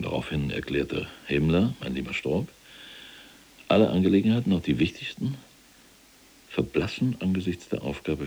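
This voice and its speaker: An actor playing a proud, self-justifying imprisoned SS general in a German radio drama, gravelly and husky, with a clipped, emphatic military delivery. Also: male voice, extremely low pitch, a little mellow, full character voice.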